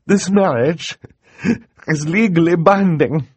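A tender woman judge played by a male narrator, pitched well above his own voice. The delivery is careful, emotional and earnest, with wide swings of pitch, as in a storybook reading. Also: lively intonation, takes it slowly, dry recording. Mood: tearful, regretful. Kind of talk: audiobook character acting.

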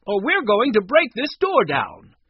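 A commanding woman from a children's story, put on by a male narrator. She is stern and forceful, with a raised pitch and strong swings in tone. The recording is slightly muffled, with faint noise. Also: huge pitch swings, moderate pace, heavily acted. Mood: stern, demanding. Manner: forceful, demanding.

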